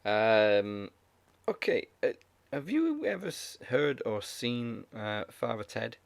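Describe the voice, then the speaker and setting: A man co-hosting a casual podcast, chatty and quick to laugh, retelling film scenes with put-on voices. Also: mid-range voice, big pitch swings, creaky, no room sound. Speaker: podcast co-host.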